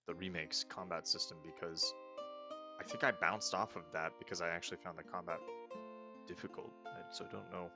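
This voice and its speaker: A man casually commenting on a game he is playing, planning his next moves aloud and often trailing off into soft mutters. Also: low voice. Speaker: let's play host.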